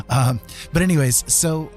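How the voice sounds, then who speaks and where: A man chatting casually on a livestream as an art streamer, rambling along in a gentle, earnest way. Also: on the high side, takes it slowly, soft background music.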